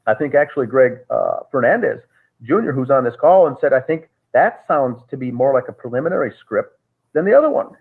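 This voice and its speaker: A man, a guest on a call, recounting events in a measured, halting way with frequent restarts. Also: medium pitch, lively intonation, gravelly, husky. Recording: tinny phone-like call audio.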